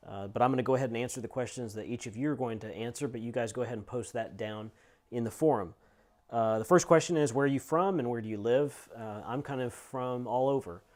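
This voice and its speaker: A man's voice: mid-range voice, fast, a little mellow. A middle-aged man, a teacher and pastor, introducing himself to students in an informal, conversational, explanatory way. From United States and Scotland. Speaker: seminary teacher and missions pastor. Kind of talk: casual course introduction to students.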